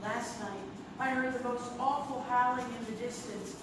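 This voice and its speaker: A woman's voice: a little high, fairly even intonation, moderate pace, lightly acted. A woman playing an archivist who reads an old diary aloud dramatically. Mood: grave, haunted. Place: live stage in a theatre. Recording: very echoey noisy theatre, far off.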